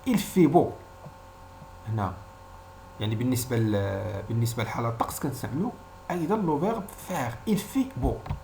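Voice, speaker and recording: A Moroccan man with a lively, widely moving pitch teaches an informal language lesson. As a native Arabic speaker, he explains in a didactic way and often repeats short example phrases. A faint hum of noise sits behind his voice. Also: fairly quick, gravelly, pauses often.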